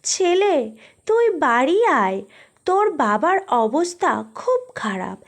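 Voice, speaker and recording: Woman acting a high-voiced worried mother. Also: big pitch swings, slow, full character voice. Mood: worried, pleading. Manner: pleading, urgent. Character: worried mother urgently calling her young son home.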